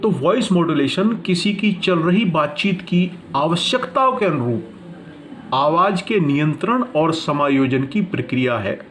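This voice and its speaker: A man teaching in a deliberate, didactic way, narrating a prepared lesson and explaining it with emphasis. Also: a little high, a little hiss or hum.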